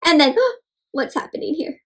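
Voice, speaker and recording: A woman doing an interactive storybook read-aloud for children, animated, asking the listeners questions and adding playful sound effects and exclamations. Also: high voice, normal speed.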